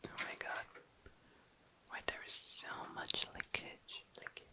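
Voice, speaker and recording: A woman whispering breathily and casually in ASMR style, informal and self-deprecating, close to the microphone. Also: measured pace. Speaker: ASMR video creator. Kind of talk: whispered ASMR, casual rambling commentary while working.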